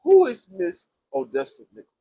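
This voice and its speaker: A man's voice: high-pitched, a little fast, slightly rough, dry recording. A man hosting a casual interview, chatty and encouraging, full of "come on now" affirmations. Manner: animated, Southern slang, frequent "come on now" affirmations. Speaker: podcast host.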